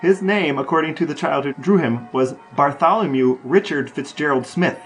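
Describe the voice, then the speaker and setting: A man's voice: on the high side, normal speed, soft background music, rough recording. A man co-hosting a podcast in casual chat, reading out quotes and bits of trivia. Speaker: podcast co-host.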